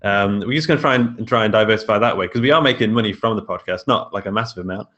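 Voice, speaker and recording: A man's voice: lowish voice, fast, a bit bright, highs cut off. A British man chatting casually as a podcast guest, informal and self-deprecating. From England. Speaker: podcast guest, indie author and filmmaker. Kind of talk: casual chat.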